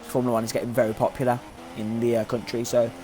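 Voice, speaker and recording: A man in the commentary seat of an online racing league, with a voice of middling pitch. He chats loosely and hesitantly, stopping to correct himself. A faint hiss of noise sits under his voice. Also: flat delivery, fast talker, dark tone. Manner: hesitant, filler-heavy, self-correcting. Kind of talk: live race commentary chat.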